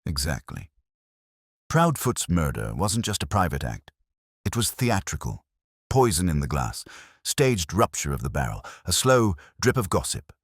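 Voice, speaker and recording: A man voicing a calm, steady male police inspector who asks probing questions quietly. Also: sits low, a little slow, breathy edge, no echo, clean studio sound, exaggerated voice.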